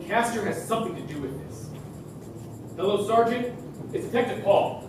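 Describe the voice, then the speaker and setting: Man acting a high-voiced, suspicious stage detective. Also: sing-song, normal speed. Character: suspicious, dogged male police detective. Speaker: stage actor. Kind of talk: theatrical monologue. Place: theater stage, distant microphone. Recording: echoey theater, distant mic, loud music.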